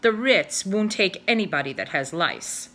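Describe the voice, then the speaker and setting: A woman voicing a wary, fussy hotel desk clerk who hesitates and frets, raising his voice higher than her narration. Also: big pitch swings, moderate pace, pushed and bright. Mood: suspicious. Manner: suspicious, hesitant, fretful.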